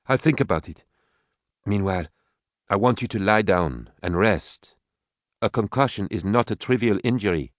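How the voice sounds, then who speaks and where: Man voicing a firm, concerned mother, pitched up. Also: lively intonation, normal speed, long pauses, no echo, clean studio sound, natural voice. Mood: reluctant, firm. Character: concerned, skeptical mother, a woman doctor.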